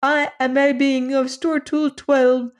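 A woman plays a tiny, desperate alien in a storybook reading, his voice thin and squeaky, almost a whimper. He pleads in stiff, over-formal phrases, pitched far above a normal speaking voice. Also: level tone, takes it slowly. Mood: pleading. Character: small pitiful male squirrel-like alien, humble and desperate. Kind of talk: audiobook character voice.

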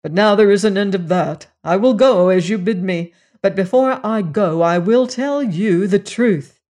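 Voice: mid-range voice, measured pace, a little mellow, lightly acted. A reader voicing an earnest young man who pleads his case with strained restraint. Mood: defiant. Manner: earnest, restrained, then defiant.

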